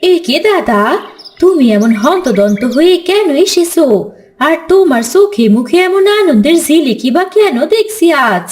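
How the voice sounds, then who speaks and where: A woman playing a sharp-tongued but caring village wife in a fairy-tale cartoon, with expressive, dramatic delivery. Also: very high-pitched, normal speed. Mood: curious wonder.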